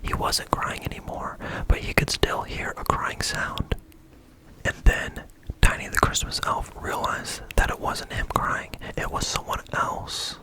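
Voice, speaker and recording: A storyteller reading a bedtime story in a soft whisper and adding dry asides. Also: a little slow, rough recording.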